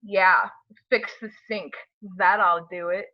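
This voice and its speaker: A woman's voice: mid-range voice, steady tone, takes it slowly, lo-fi sound, close to an everyday voice. A woman playing a playful young wife in her late twenties, with a casual, teasing manner, in a table read of a film script.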